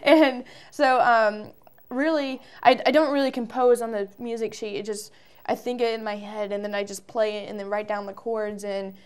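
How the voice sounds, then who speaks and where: Casting: young American woman, early twenties, smooth clear voice, chatty informal interview guest. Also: a little high, fairly even intonation, moderate pace. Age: early 20s. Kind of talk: casual interview answers.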